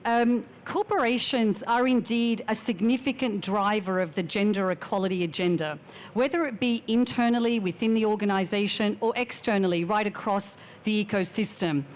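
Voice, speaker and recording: A woman giving a measured, formal introduction as a panelist at a finance conference. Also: medium pitch, a little hiss or hum. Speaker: panelist, strategic advisor.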